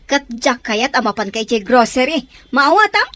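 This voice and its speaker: Woman acting harsh, high-voiced bossy aunt. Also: big pitch swings, normal speed, a bit bright. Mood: bossy, scolding. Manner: curt, commanding. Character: harsh, bossy aunt ordering a child around. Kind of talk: radio drama acting in Ilocano.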